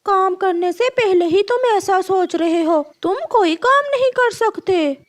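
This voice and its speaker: A woman voicing an older male uncle parrot in a cartoon story, blunt, sensible and forceful with advice. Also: very high-pitched, expressive, a little slow, voice pushed up. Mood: scolding. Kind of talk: cartoon story character dialogue.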